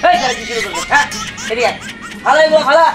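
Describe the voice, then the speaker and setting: A man's voice: extremely high pitch, lively intonation, over loud music. A man with a colloquial Bangladeshi accent voicing an exasperated young cartoon husband, scolding in comic dialogue. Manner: scolding, rapid, forceful. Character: exasperated young husband of two quarrelling wives.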